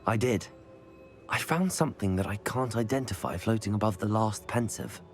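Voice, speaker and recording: An actor playing an earnest young wizard student in a game cutscene, speaking politely and plainly. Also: male voice, lowish voice, moderate pace, natural voice. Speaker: player character. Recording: faint music behind.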